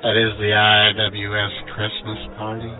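A man's voice: on the low side, monotone, natural voice. A man playing a pompous, florid boozy poet who delivers a mock-literary scripted monologue for radio comedy. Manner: pompous, deliberate. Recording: dull lo-fi radio with music.

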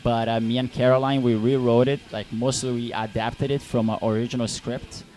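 A man's voice: medium pitch, little pitch movement, fairly quick, a little mellow. A young Brazilian man with a Brazilian Portuguese accent, a film director answering interview questions, hesitant with many fillers and restarts.

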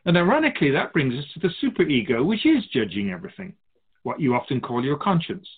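A man's voice: fairly high pitch, very animated, fast, breathy edge, phone-quality sound, full character voice. A man playing an otherworldly keeper of souls in a radio drama, a wise, teasing mentor who muses theatrically in rhetorical questions. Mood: lecturing. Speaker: spirit guide in a radio drama.

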